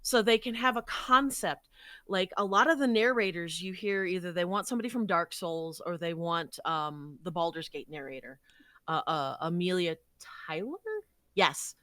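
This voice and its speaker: A woman's voice: lowish voice, normal speed, a bit bright, no echo, clean studio sound. A woman speaking as an interview guest, explaining her craft conversationally and thinking aloud as she goes. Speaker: voice actor, podcast guest.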